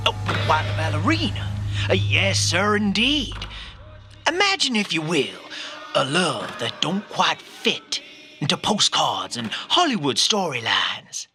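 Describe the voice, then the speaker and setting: An actor playing a menacing, theatrical crime boss with a twisted romantic streak, speaking in florid, drawn-out poetic lines in a noir radio play. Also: male voice, on the high side, very animated, pushed and bright, soft background music, full character voice. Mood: sinister, lovesick.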